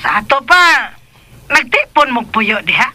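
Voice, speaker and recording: An excitable, protective mother in a radio drama, scolding bluntly in a Filipino Cebuano accent. Her voice sits high and cuts through with a very bright, forceful edge. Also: a little slow, full character voice. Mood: scolding, insistent.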